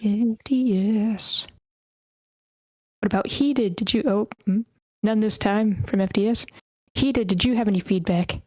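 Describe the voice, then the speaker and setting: A woman's voice: mid-range voice, a little slow, pauses often, warm tone, no echo. A woman hosting an online table read, giving informal, self-deprecating feedback and swearing casually. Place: online group meeting.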